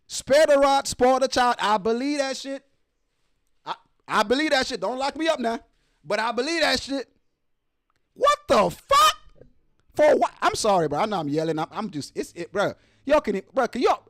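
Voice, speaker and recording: Animated high-pitched man ranting casually. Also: no echo, studio quality. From Nigeria. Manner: slangy, profane, exasperated. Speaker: host of a live reaction show. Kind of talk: casual ranting reaction commentary.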